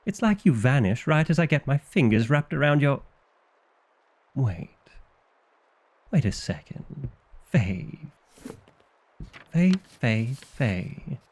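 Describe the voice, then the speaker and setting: Vampire librarian for ASMR roleplay, played by a man: exasperated and bookish, unhurried delivery with big melodic swings, wry theatrical indignation. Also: medium pitch, sing-song, darkish tone, full character voice. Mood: baffled.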